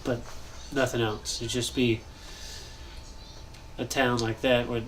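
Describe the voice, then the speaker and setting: A young man with a stuffy, nasal voice, chatting casually and thinking aloud while he builds in a game, pausing often to cough or clear his throat. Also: medium pitch, little pitch movement, fairly bright tone, slight background noise, rough recording. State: congested, nasal. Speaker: gaming channel host.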